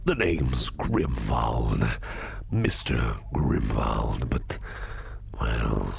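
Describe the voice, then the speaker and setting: A man's voice: lively intonation, exaggerated voice. A man voicing a shady, flirtatious crime boss in a whispery growl, drawling suavely and menacingly in an ASMR roleplay. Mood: suave, welcoming. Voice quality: very deep gravelly whispery growl. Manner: slow, drawling, suave and intimidating, with lingering pauses. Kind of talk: ASMR roleplay, close personal attention.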